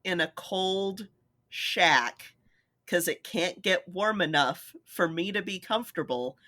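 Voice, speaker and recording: A woman's voice: on the low side, takes it slowly, sharp tone, dry recording, clean studio sound. A woman co-hosting a podcast in casual chat, playful and agreeable. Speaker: podcast co-host.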